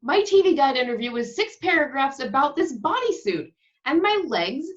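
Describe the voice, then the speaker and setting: A woman's voice: high voice, big pitch swings, normal speed, muffled recording. A woman playing an exasperated, sardonic television actress in an amateur comedy table read, complaining and sarcastic. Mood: bitter.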